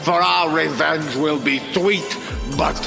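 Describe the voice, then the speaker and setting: A man's voice: on the high side, slow pace, creaky, long reverb tail, over music. An actor playing a pompous, vain, vengeful alien king in a sci-fi musical audio drama, making grandiose speeches.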